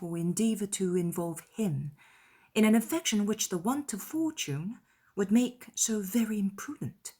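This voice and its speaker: A woman voicing a sensible middle-aged aunt in an audiobook drama, speaking with measured, kindly and confiding earnestness. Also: medium pitch, husky. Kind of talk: dramatized audiobook character dialogue.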